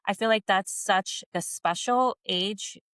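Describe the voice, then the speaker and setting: A woman's voice: medium pitch, slow pace, a bit bright, no room sound, studio quality. A woman in her thirties hosting a casual coaching interview in a conversational style. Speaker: podcast host and coach.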